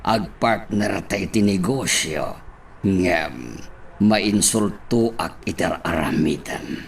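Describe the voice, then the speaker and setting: A man's voice: low-pitched, expressive, takes it slowly, slight background noise, exaggerated voice. A man acting as a proud, stern local boss guarding his turf in an Ilocano radio drama. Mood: offended, firm.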